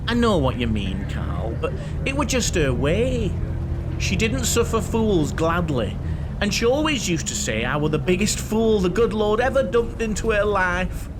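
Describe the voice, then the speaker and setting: A man's voice: sits high, very animated, a little slow, background noise. An actor in an audio play voicing a chatty, lonely, apologetic middle-aged Northern English man, naive and eager to please. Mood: rueful.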